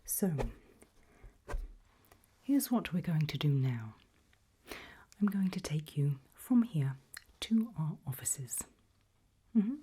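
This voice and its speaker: A woman in an ASMR roleplay voicing a polite, quietly menacing HR interviewer in a breathy, deliberate whisper. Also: low voice, very animated, a little hiss or hum, heavily acted. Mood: politely sinister. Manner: slow, deliberate, softly spoken.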